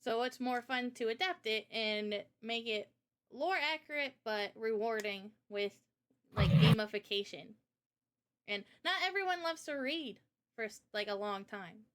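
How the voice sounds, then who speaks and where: A woman's voice: a little high, animated, measured pace, a bit bright. A woman talking casually and informally in a livestream Q&A, explaining a project as its developer in slangy everyday language. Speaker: game developer presenting a visual novel project.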